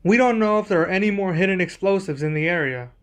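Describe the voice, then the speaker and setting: A man playing a young king and protective husband in an ASMR roleplay, speaking in a formal, measured, deliberate way. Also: sits high, clean tone, close to an everyday voice. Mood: stern, urgent.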